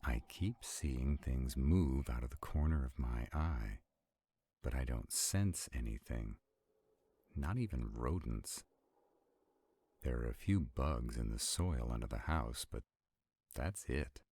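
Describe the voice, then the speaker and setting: A man playing an arrogant, protective centuries-old vampire in a roleplay audio drama, haughty and brooding, with a gravelly, husky voice. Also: extremely low pitch, expressive, slow pace, mellow, no echo, heavily acted. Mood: protective, worried.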